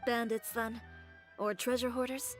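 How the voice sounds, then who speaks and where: A woman's voice: fairly high pitch, flat delivery, measured pace, natural voice. A woman voicing a confident, businesslike young fashion designer in a video game, composed, blunt and dry in manner. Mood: brisk, dry. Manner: composed, matter-of-fact, dry. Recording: faint game music behind.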